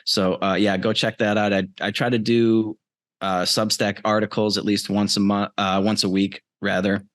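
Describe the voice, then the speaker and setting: A man's voice: on the low side, flat delivery, normal speed, a bit bright, no echo. An American man in middle age talking casually and conversationally as a podcast guest, on a close mic over a video call. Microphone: close mic on a remote video call.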